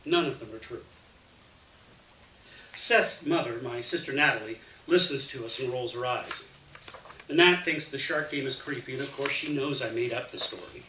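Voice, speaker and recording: A man reading a first-person short story aloud in an expressive, dramatic way. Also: mid-range voice, normal speed. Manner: dramatic, animated delivery with acted dialogue. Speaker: reader of a short story to an audience. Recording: roomy, distant mic, faint noise.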